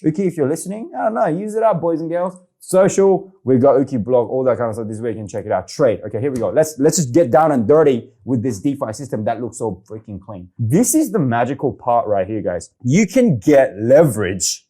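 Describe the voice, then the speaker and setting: Excitable Korean man, fast and mellow. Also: mid-range voice. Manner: excitable, chatty, says okay often. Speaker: crypto video host. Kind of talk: casual screen-share walkthrough.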